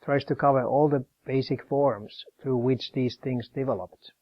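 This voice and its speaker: A man from Finland, a professor calmly explaining and demonstrating his collection in his office in an interview. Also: medium pitch, unhurried, highs cut off. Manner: calm, explanatory, demonstrating objects as he talks.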